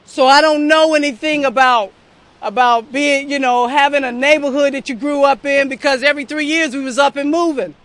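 Young woman from the southern US, recovery speaker, earnest testimony, very high pitched. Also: fairly even intonation, normal speed, fairly bright tone. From southern United States. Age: young adult. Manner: heartfelt and earnest, speaks straight to the crowd. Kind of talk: personal testimony to an audience.

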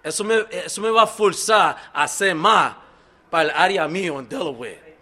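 A middle-aged Dominican-American man from New York giving an earnest, halting personal testimony at a recovery meeting, switching between Spanish and English fillers. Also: sits high, bright, forceful tone. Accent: Dominican-American, mixing English into Spanish. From New York, Dominican family. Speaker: speaker sharing at a recovery meeting.

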